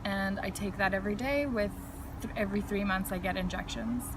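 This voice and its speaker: A woman's voice: mid-range voice, fairly quick. Chatty woman from South Africa, slight hiss. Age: 30s. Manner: frank, chatty, laughs lightly while recounting. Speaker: guest. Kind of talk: casual interview storytelling.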